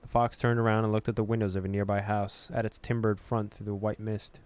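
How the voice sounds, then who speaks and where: Gamer guy narrating a story game, smooth clean voice kinda deep, expressive with casual reactions. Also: fast. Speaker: gaming video host. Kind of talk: reading game text aloud with casual reactions.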